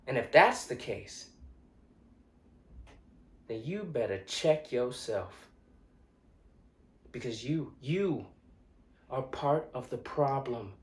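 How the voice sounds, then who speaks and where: An actor playing an earnest, indignant young man who confronts strangers in a dramatic monologue, speaking deliberately with hesitant pauses and insistence. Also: mid-range voice, animated. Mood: indignant, forceful. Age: young adult. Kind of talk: dramatic monologue, conversational direct address. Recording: echoey room.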